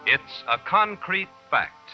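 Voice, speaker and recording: Animated American old-time radio announcer. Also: male voice, high-pitched, takes it slowly, soft background music. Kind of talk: 1950s radio announcing, scripted. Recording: dull vintage radio recording.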